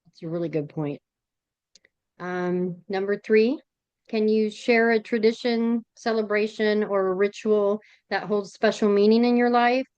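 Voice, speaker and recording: Polite woman interviewer with a smooth, dull-toned voice. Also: mid-range voice, steady tone, a little slow, a little mellow, dry recording. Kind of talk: reading interview questions.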